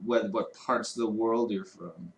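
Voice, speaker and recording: A man's voice: fairly low pitch, unhurried, gravelly, low-quality recording. A man from Chicago co-hosting a livestream, chatting casually in a rambling, profane way and laughing often. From Chicago, United States. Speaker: livestream co-host. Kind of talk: casual chat. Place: home streaming studio.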